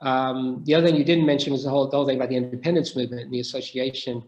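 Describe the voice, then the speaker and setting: A man's voice: medium pitch, little pitch movement, racing, darkish tone, reverberant, muffled recording. A middle-aged man answering questions in an academic discussion, thoughtful and full of restarts. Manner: thoughtful, many restarts and hesitations. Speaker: guest speaker.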